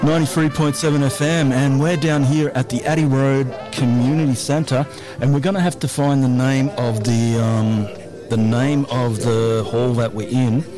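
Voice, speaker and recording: Loud Australian radio host, chatty. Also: male voice, medium pitch, fairly even intonation, moderate pace, over music. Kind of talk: live radio interview. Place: community centre hall.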